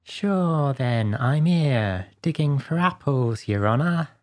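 A woman reader plays a simple manservant from a storybook. His voice sits very deep and moves slowly. He is meek and deferential, a little hesitant with his betters. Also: lively intonation, darkish tone, dry recording, heavily acted. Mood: meek, earnest.

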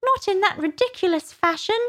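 Woman acting little sleepy storybook mouse, male, high-pitched, squeaky, slow drawl, sulky, complaining. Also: lively intonation, fairly bright tone.

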